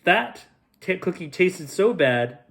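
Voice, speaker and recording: A man's voice: high voice, slow pace, vocal fry. A man hosting a bedtime story, reading to children expressively and playfully. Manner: expressive, with playful repeated sound effects.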